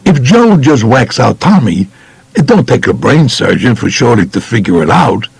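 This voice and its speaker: Guy doing a blunt new york mobster telling his story, gravelly, lively swings in pitch, audiobook. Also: normal speed, no echo, exaggerated voice. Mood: blunt, matter-of-fact. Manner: colloquial, blunt, matter-of-fact. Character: tough streetwise male mobster recounting his crimes. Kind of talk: first-person audiobook narration in character.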